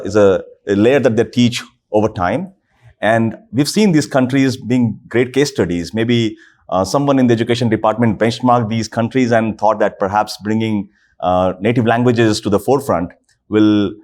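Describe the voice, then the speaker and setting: A man on a panel speaking in a measured way, pausing often to find his words. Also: lowish voice, a little mellow. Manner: measured, hesitant with fillers. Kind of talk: panel discussion.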